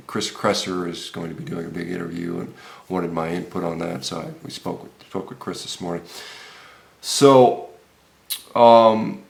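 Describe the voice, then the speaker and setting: American male vlogger, fifties, low-pitched with heavy fry, halting unscripted commentary. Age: 50s. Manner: halting, with frequent hesitations and repeated words. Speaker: health vlogger. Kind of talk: informal unscripted video commentary. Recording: roomy, echoey room sound.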